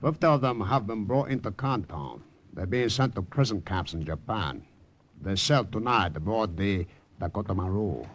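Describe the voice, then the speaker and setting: An actor playing a grave male courier in old-time radio drama, speaking slowly and solemnly. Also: fairly low pitch, a little hiss or hum, natural voice. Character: grave Chinese male courier bearing bad news. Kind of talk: 1940s-50s radio drama acting.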